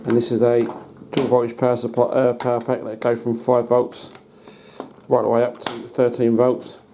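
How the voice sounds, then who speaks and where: A British man giving a casual hands-on demonstration as a hobbyist, in a halting, matter-of-fact way. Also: mid-range voice, completely flat delivery. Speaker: hobbyist presenter. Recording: noticeable background noise.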